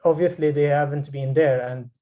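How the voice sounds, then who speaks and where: A man in his twenties from Morocco, a guest filmmaker speaking in an interview over a video call, often pausing and restarting as he searches for words. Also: a little high, steady tone, warm tone. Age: 20s.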